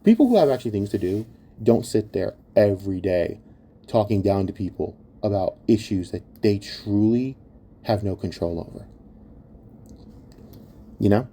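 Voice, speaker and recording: Mellow, low-voiced man ranting bluntly. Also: expressive, moderate pace, long pauses. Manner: blunt, heavy swearing; exasperated, scornful. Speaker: online commentator. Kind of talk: opinionated rant commentary.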